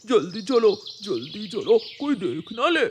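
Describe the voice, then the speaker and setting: A woman voicing a sneaky, cowardly male crow villain in a cartoon, urgent and hurried. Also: mid-range voice, very animated, slight background noise, pitched below the performer's own voice. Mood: furtive.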